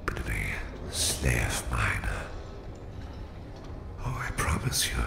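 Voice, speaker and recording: A man voicing a menacing, gloating Russian villain who captures his listener, in a slow, sinister ASMR roleplay whisper with a gravelly voice. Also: wildly swooping pitch, exaggerated voice. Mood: coaxing menace. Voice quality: very deep, gravelly, breathy whisper. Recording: roomy, echoing voice.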